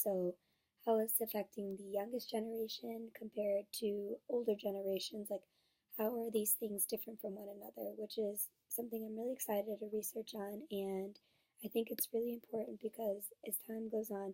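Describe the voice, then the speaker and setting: A young woman with a hoarse voice from being sick, giving an earnest class presentation on her research paper. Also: mid-range voice, mellow, rough recording. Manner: earnest, with fillers and restarts. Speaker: student.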